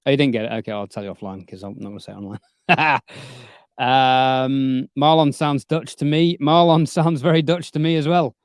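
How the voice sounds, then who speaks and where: A man's voice: medium pitch, steady tone, moderate pace, smooth, dry recording. A man hosts a casual livestream from his home studio, chatting and joking with lots of fillers. His voice sits in a middle range, and the close studio microphone leaves it dry, with no room around it. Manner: chatty, jokey, trailing fillers. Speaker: livestream host. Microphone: studio dynamic microphone.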